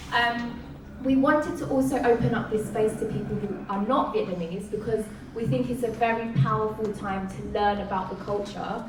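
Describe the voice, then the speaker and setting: A woman hosting a live community event, chatty and upbeat, often laughing as she talks. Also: medium pitch. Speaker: event host. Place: community event hall, indoors. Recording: echoey room, distant mic, background noise.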